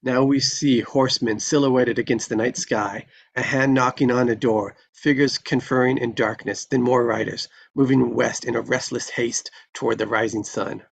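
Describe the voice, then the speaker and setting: A man with a gravelly voice reading scene descriptions from a screenplay aloud at a live table read. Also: mid-range voice, fairly even intonation, normal speed, hardly any pauses, a little hiss or hum. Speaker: reader of stage directions at a table read.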